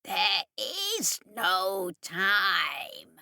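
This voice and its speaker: A woman voicing a slow, booming alien entity. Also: sing-song, creaky, bright, forceful tone, no echo, studio quality, full character voice. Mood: ominous. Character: vast alien entity, ominous, urgent, obsessive.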